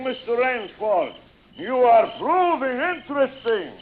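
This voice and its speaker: An actor playing a suave, gloating aristocratic general who hunts men for sport, theatrical and mock-courteous, in vintage radio style. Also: male voice, very high voice, exaggerated voice. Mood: taunting, triumphant. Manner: theatrical, mock-courteous, savouring his words. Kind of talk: 1940s radio acting. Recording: old radio broadcast, tinny and noisy.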